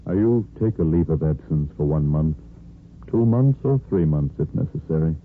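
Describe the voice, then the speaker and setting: An actor playing a kindly older hospital chief of staff in a 1950s radio soap, reassuring and paternal. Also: male voice, a bass voice, lively intonation, normal speed. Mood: fatherly, gentle. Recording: muffled, noisy 1950s radio recording.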